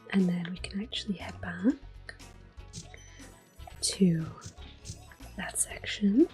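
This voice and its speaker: A woman softly whispering gentle, unhurried ASMR commentary as they play a video game, explaining each step in a breathy voice. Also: lowish voice, faint music behind. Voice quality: breathy whispering, soft-spoken. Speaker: gaming ASMR video host. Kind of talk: soft-spoken ASMR gameplay commentary.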